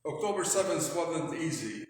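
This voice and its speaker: A man in his sixties with a Lebanese accent, introducing himself as a panelist to an audience with halting, hesitant phrasing. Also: on the high side, lively intonation. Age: 60s. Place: large indoor hall with long reverb. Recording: very echoey hall with faint noise.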